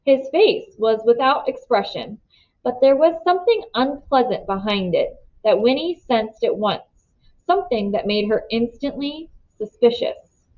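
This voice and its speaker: A woman's voice: fairly high pitch, lively intonation, unhurried. Woman narrating a storybook, slightly muffled. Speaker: read-aloud narrator. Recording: slightly dull, lo-fi sound.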